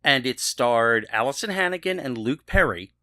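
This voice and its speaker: A man co-hosting a podcast in casual discussion, often halting and qualifying what he says. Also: mid-range voice, animated, pushed and bright, dry recording, studio quality. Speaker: podcast co-host.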